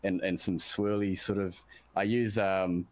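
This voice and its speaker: A deep-voiced man, a musician guest from New Zealand, chatting casually about production and often restarting his sentences. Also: little pitch movement, unhurried, a little mellow, no echo. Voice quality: deep bass voice. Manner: rambling, self-interrupting, searching for words.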